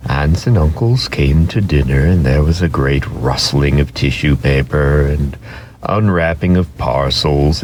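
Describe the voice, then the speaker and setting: A man reading a children's story as a dramatic narration, expressive and deliberate in his delivery. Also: extremely low pitch, a little hiss or hum. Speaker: storybook reader.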